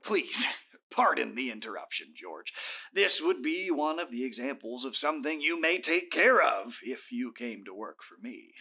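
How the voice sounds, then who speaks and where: A man playing a scheming, smooth-talking older tycoon in a comedic audio drama, with an unctuous, drawn-out emphatic delivery. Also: medium pitch, big pitch swings. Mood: smooth, persuasive. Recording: thin, phone-like sound.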